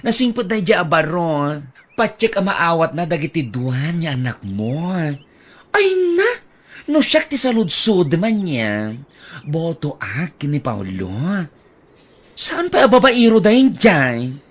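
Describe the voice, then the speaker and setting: A woman voicing a lively, teasing female friend in an audio drama, full of exclamations. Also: sits low, a little slow, exaggerated voice.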